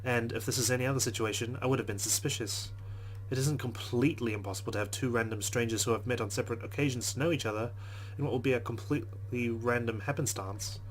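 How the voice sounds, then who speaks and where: A man reading a visual novel aloud as a let's play host, in a plain, measured voice. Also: medium pitch, fairly even intonation, slight background noise, rough recording.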